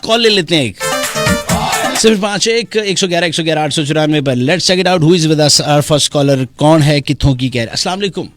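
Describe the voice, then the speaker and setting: A male radio call-in host with a Pakistani Punjabi accent, bantering playfully and mock-seriously, teasing listeners and mixing languages. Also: fairly high pitch. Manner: switches between languages mid-talk. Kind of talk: playful live radio banter with comic monologue. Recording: loud music behind the voice. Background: music.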